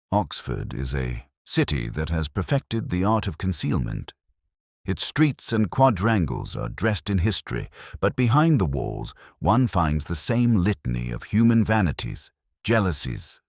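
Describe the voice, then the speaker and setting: A man with an extremely low voice reads a detective story in the first person. His delivery is measured and literary, with lively rises and falls in pitch. The sound is dry and close, with very little room on it. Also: no room sound. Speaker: narrator.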